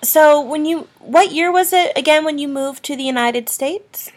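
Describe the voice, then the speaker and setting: Woman interviewer, measured and formal. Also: sits high. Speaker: interviewer for an archive. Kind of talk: oral history interview.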